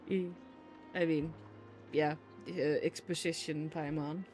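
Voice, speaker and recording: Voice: medium pitch, a little mellow, a little hiss or hum. A streamer giving casual, rambling and blunt commentary on a game, swearing freely.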